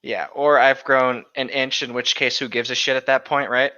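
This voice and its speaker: A man's voice: medium pitch, little pitch movement, normal speed, pushed and bright. A young man co-hosting in casual, sarcastic, profane banter, recorded on a lo-fi home mic. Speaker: co-host reading a book aloud with commentary. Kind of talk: casual joking chat.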